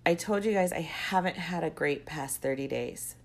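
A young woman with a low-pitched, congested voice hosts her own podcast. She talks casually and rambles, drifting into side stories before circling back to her point. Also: lively intonation, normal speed, creaky. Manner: rambling, goes off on tangents. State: stuffy, congested with a cold. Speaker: podcast host. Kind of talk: casual solo podcast monologue.

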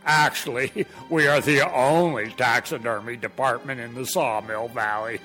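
A man playing a folksy, cheerfully morbid funeral home pitchman in a spoof radio ad, with deadpan salesmanship.

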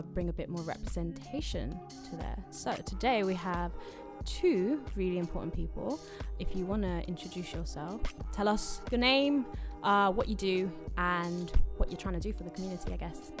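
A woman hosting a podcast in casual chat, chatty and quick to laugh. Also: fairly low pitch, music in the background. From London. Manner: chatty, laughs easily, trails off mid-sentence. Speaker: podcast host.

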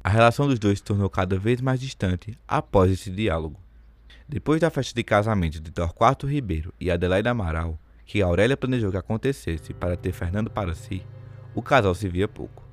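A man's voice: fairly low pitch, expressive, brisk, faint music behind. A Brazilian man narrating a radio soap opera in a scripted, dramatic storytelling style.

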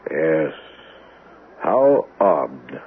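An actor playing a suave, probing doctor with veiled menace in a radio mystery, speaking in a measured, insinuating way with deliberate pauses. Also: male voice, extremely low pitch, sing-song, heavily acted. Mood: coolly reassuring. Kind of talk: old-time radio mystery acting. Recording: tinny band-limited vintage radio, faint hiss.

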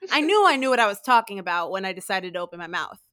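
A woman's voice: medium pitch, very animated, rapid-fire, sharp tone. A woman co-hosting a casual conversation, speaking confidently and emphatically.

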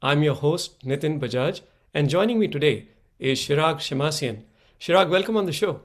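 A man's voice: a little high, lively intonation, normal speed. A man hosting an interview show, asking friendly, rambling questions. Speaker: podcast host.

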